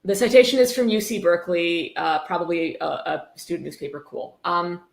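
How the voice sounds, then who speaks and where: A woman's voice: lowish voice, animated, a little fast, vocal fry. A woman hosting a casual livestream, reading passages aloud and commenting in a sarcastic, irreverent way with free swearing. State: tipsy. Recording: roomy, echoey room sound.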